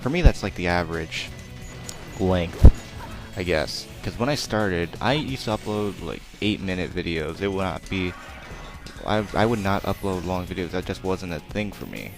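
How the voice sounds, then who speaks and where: Young male let's player, very deep and soft-spoken, rambling and self-deprecating, game music loud underneath. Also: expressive, normal speed, vocal fry. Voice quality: naturally quiet voice. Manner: rambling, chatty, self-deprecating, many fillers. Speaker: let's play commentator. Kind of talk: casual gameplay commentary.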